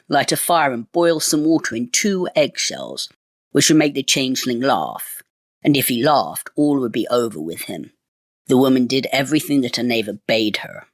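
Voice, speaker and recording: A man reading a fairy tale as storyteller, in expressive, measured phrases. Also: medium pitch, no echo, studio quality. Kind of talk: scripted storybook narration.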